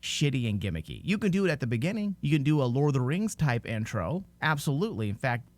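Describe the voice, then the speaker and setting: A man's voice: mid-range voice, sing-song, fast talker, a little mellow, dry recording. A man hosting a podcast, talking casually and giving his opinions emphatically. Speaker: podcast host.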